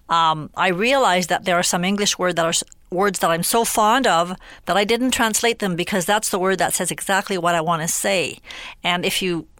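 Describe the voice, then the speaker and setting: Woman poet, unhurried. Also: lowish voice, fairly bright tone, dry recording. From Western Canada. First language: French. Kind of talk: radio interview and poetry reading.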